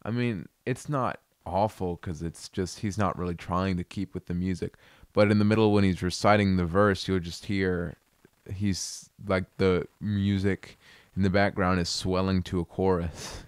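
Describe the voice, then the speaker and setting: A man with a very low voice that sounds smooth and clear, talking in a relaxed, amused ramble. He is recorded dry and close, with no room sound around him. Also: moderate pace, no echo. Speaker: video host reviewing an album. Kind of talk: casual commentary.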